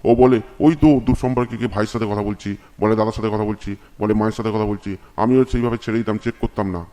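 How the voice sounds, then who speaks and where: Fast-talking, stubborn jilted husband acted by a man. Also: lowish voice, fairly even intonation, warm tone, natural voice. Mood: complaining. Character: stubborn, aggrieved young village husband abandoned by wife. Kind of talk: comedy cartoon voice acting.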